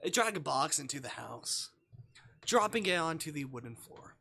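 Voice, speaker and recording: A man hosting a game livestream with a bright, forward voice and very animated pitch. He reads visual novel text aloud and mixes it with playful chat. His delivery is expressive and jokey. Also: normal speed, vocal fry. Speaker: live streamer playing a visual novel.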